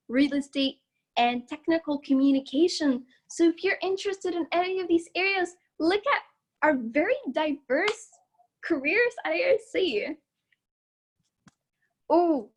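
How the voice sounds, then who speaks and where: A high-pitched young woman speaking from home, guiding listeners through a presentation with a chatty, upbeat delivery. Her voice carries loudly, and she breaks into laughter often between points. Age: young adult. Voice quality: loud voice. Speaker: student body president giving a campus tour. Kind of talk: casual narrated slide tour.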